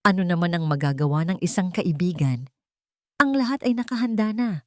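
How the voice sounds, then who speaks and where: A woman's voice: lowish voice, expressive, brisk, dry recording, clean studio sound. A woman narrating a scripted story in a dramatic storytelling style.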